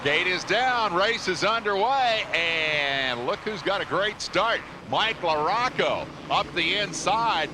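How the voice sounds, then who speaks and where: A man's voice: sits high, expressive, takes it slowly, few pauses. A man calling motocross play-by-play as lead commentator, in punchy, dramatic phrasing. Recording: engine and outdoor noise behind voice. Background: motocross race noise.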